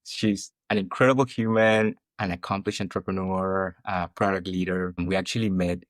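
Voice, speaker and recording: A man's voice: low voice, steady tone, moderate pace, dry recording. A man hosting a podcast in a conversational interview style, sounding enthusiastic and welcoming. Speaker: podcast host.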